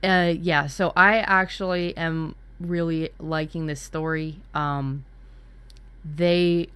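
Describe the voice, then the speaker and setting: A woman's voice: sits low, steady tone, slow pace, fairly bright tone, clean tone, no echo. A woman in casual brainstorming chat as a workshop co-host, talking candidly and dramatically, with some swearing. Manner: candid, sweary, dramatic about spooky ideas.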